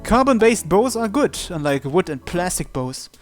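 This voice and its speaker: A man's voice: high-pitched, sing-song, moderate pace. A man reading a visual novel aloud as first-person narration for a playthrough. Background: game music.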